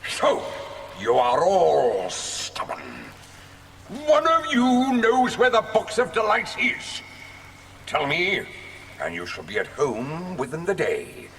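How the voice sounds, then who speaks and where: An actor playing a suave, menacing sorcerer villain in an audio drama, theatrical and mockingly polite with drawn-out threats. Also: male voice, on the high side, huge pitch swings, gravelly, a bit bright, a little hiss or hum, exaggerated voice. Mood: quietly menacing.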